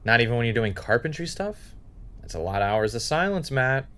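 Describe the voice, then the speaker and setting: A man's voice: medium pitch, sing-song, brisk, fairly bright tone. A man playing a guarded, wry podcaster and former reality contestant in a naturalistic audio drama. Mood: probing. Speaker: podcast host and narrator.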